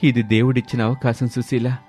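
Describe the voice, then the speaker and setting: A man voicing a poor, hardworking village husband in an animated story, earnest and reassuring. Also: medium pitch, fairly quick, soft background music, lightly acted. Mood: hopeful. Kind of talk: animated moral story dialogue.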